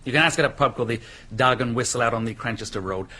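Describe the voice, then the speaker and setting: A man's voice: medium pitch, rapid-fire, pushed and bright. A man playing a belligerent suspect. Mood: defiant. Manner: belligerent, evasive. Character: defiant suspect, rough middle-aged man. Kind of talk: radio drama acting.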